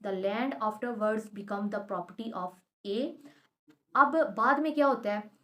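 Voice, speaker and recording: A woman explaining law as a teacher, mixing in English terms and reading quoted passages slowly and deliberately. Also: medium pitch. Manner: switches between Urdu-Hindi and English terms, slow deliberate reading of quotations.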